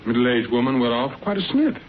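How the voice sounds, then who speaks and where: A man acting a shrewd, courteous British private detective in a radio drama, asking brisk, probing, measured questions. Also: mid-range voice, very animated, lightly acted. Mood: thoughtful. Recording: muffled narrowband old radio recording, faint noise.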